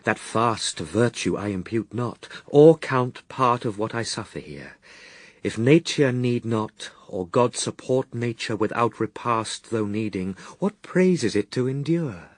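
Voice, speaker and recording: Man acting steadfast fasting Christ figure, unhurried, restrained, inward musing, dry recording, classical radio drama. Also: mid-range voice, lively intonation, no room sound, lightly acted. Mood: pensive, resolute. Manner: measured, introspective, restrained. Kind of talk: classical radio verse soliloquy.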